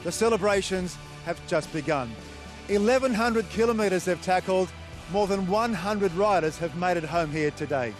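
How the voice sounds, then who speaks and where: A man's voice: high voice, normal speed. Upbeat male sports commentator over music. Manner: upbeat, scripted delivery. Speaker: race commentator. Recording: music bed under voice.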